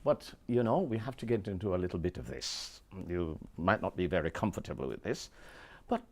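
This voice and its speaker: Lively male TV interviewer. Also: sits low, a little fast. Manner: playful, affirming, laughs often.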